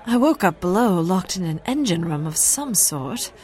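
Woman acting plucky, low-voiced, animated radio heroine. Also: moderate pace, slightly rough, a faint crowd. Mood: brisk. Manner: sassy, period slang. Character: plucky, brisk young society heroine. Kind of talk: old-time radio drama parody acting.

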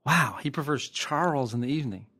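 A man's voice: medium pitch, expressive, fairly quick, sharp tone, no room sound. A man co-hosting a podcast in casual, opinionated banter, blunt and wryly teasing. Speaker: podcast co-host.

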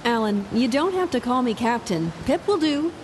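An actress playing a calm, decisive female captain of an undersea rescue team in an audio drama. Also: fairly high pitch, long reverb tail, slight background noise, close to an everyday voice. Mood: brisk. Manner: brisk, commanding, lightly wry.

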